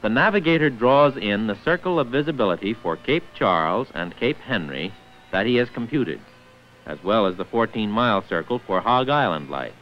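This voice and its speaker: Male narrator, 1940s instructional film: deep-ish, precise, formal, varied pitch, dated lo-fi sound. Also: lively intonation, normal speed, soft background music. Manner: formal, precise enunciation of figures.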